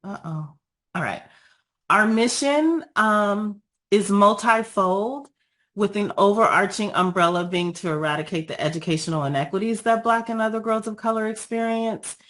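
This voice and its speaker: A woman with a raspy voice after being ill, giving an earnest, measured presentation over a video call with frequent pauses and ums. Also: medium pitch, a bit bright. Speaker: guest speaker, nonprofit founder.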